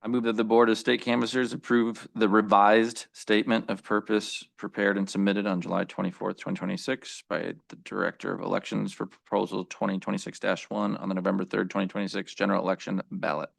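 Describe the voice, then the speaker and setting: A man's voice: fairly low pitch, flat delivery, fairly quick, gravelly, hardly any pauses, no echo. A man on a public board, blunt and brief, formally moving a motion. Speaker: board member. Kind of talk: formal public meeting remarks.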